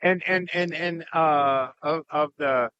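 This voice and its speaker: A male podcast host interviewing a guest outdoors at a market. His voice is fairly high and bright, and he keeps the chat moving with short, eager reactions. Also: slow pace, muffled recording. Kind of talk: casual interview.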